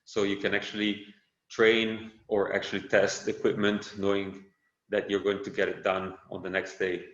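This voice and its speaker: A man with an Italian accent, Italian by birth, being interviewed on a podcast and talking in a relaxed, unhurried way with many fillers and self-corrections. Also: fairly low pitch. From Italy. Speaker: guest, windsurf brand founder. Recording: roomy, slightly dull sound.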